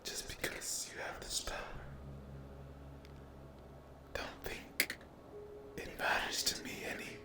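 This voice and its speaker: A man voicing a menacing, possessive sleep paralysis demon in a whispered ASMR roleplay, with slow, taunting, drawn-out phrases. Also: lightly acted. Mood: gloating, sinister. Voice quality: breathy whisper with creaky low voice.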